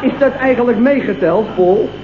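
A man's voice: extremely high pitch, moderate pace, crowd noise. An actor playing a cheeky, aggrieved young male troublemaker, offhand and grumbling, in old radio comedy style. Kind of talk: 1970s Dutch radio comedy acting. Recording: tinny, noisy, echoey old radio recording.

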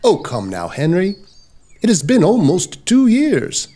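An actor playing a kindly, sensible brother-in-law in a Victorian audio drama, theatrical and reassuring. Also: male voice, high voice, wildly swooping pitch, unhurried, dark tone, full character voice. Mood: consoling.

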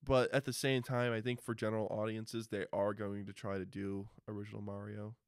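A man's voice: on the low side, little pitch movement, a little fast, clean tone, no echo. Dry-sounding man in casual debate. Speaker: podcast co-host. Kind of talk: casual opinionated discussion.

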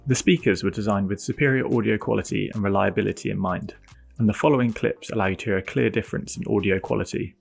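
A man with a lowish, creaky voice reads scripted product narration. He is measured and explanatory, with light music playing behind him.

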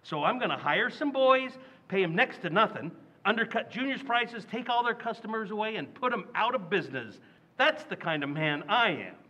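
An actor playing a loud, indignant working-class family man in a radio play. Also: high voice, lively intonation, moderate pace, heavily acted. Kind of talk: old-time radio drama acting. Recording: dull lo-fi recording.

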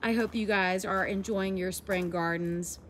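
A woman's voice: medium pitch, steady tone, unhurried, a little hiss or hum. A woman in her forties with a stuffy, nasal voice, chatting enthusiastically in a casual garden vlog, filmed outdoors on a handheld phone. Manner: chatty and enthusiastic, laughs easily. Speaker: garden vlogger. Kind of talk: casual walk-and-talk vlog. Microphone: handheld phone camera.

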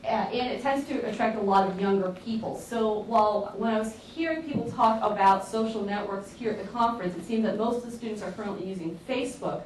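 A woman giving a lively, emphatic conference talk with slides in a large lecture hall, heard from a distant camera. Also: mid-range voice, fairly quick, hardly any pauses. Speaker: conference presenter. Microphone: far room camera. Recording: echoey hall from far off, faint hiss.

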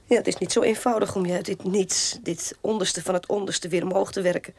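An actress playing a weary, proud sister in a radio drama, speaking in a measured way. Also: female voice, mid-range voice, steady tone, a little mellow, husky, dry recording. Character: weary, proud sister defending her disgraced father.

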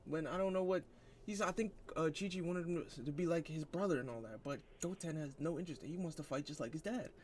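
Male online commentator, voice a bit high, casual and argumentative, on a slightly noisy home recording. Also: fast.

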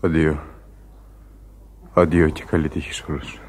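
An actor playing a dying sailor in a radio play, weak and pleading. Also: male voice, extremely low pitch, little pitch movement. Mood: weary. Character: dying sailor, fearful then resigned. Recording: faint hiss of old radio recording.

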